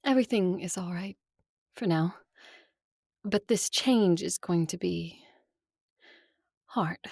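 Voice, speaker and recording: A centuries-old vampire noblewoman in an audio drama. Her voice is lowish, with a gravelly fry, and dryly recorded. She speaks earnestly and with measured resolve. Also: warm tone, no echo, clean studio sound, exaggerated voice. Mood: quiet, serious. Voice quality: gravelly, husky. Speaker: voice actor and story narrator.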